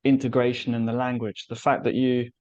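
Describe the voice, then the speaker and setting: A man's voice: medium pitch, little pitch movement, brisk, darkish tone. Host: friendly, enthusiastic man with a middling voice, recorded slightly dull and lo-fi. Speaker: interviewer, channel host. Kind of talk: casual interview chat.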